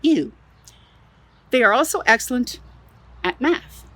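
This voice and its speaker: Lively woman reading a children's story aloud. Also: fairly high pitch, takes it slowly, a little hiss or hum. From Canada. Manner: expressive, playful, adds exclaimed asides. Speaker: museum storyteller. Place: own backyard.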